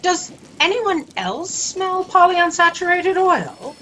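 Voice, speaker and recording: Brief: imperious female boss played by an actress, slow, animated, highish voice, dismissive, sci-fi audio comedy. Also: heavily acted. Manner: commanding, dismissive, deliberate. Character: stern, imperious lady editor-in-chief, dismissive and proper. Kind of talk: sci-fi satire audio drama acting. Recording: noisy home video-call audio.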